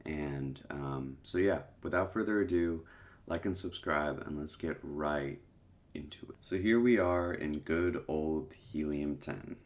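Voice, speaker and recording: A man's voice: rumbling low, measured pace. A young man with a stuffy, congested voice casually explaining his method in a tutorial, with lots of "um"s. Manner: relaxed, filler-heavy explaining with many "um"s. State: congested from a cold. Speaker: online seller teaching product research. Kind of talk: casual screen-recorded tutorial.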